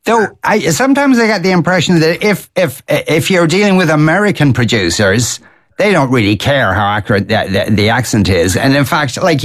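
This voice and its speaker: An Irish man with a Midlands accent chats in a relaxed, wry way. His pitch moves around a lot as he talks. He often stops and restarts his sentences. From Ireland. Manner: dry, wry humor with hesitant restarts. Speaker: interviewer. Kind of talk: casual conversation.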